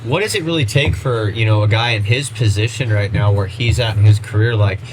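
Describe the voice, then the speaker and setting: A man's voice: lowish voice, a little fast. A man hosting a casual podcast, rambling with lots of fillers. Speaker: podcast host. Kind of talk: casual interview chat. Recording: noisy background.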